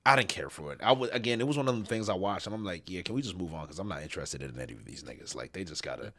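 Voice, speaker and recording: A man's voice: low voice, lively intonation, rapid-fire, a bit bright. A man co-hosting a casual review chat, laid-back, trailing off into mumbles. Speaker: co-host.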